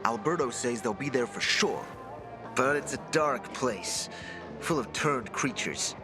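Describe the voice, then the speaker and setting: A man's voice: medium pitch, lively intonation, a little slow, music in the background, natural voice. A man playing a young apprentice inventor in a video game, chatty, earnest and unsure of himself. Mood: earnest, hopeful. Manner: chatty, earnest, self-doubting. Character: young, eager, self-doubting male apprentice inventor. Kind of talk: video game cutscene acting.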